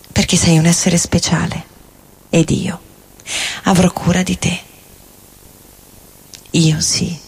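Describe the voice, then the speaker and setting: A woman giving a measured, dramatic literary reading in the style of experimental theatre. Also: lowish voice, darkish tone, husky. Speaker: reader, performer. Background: guitar music and loops.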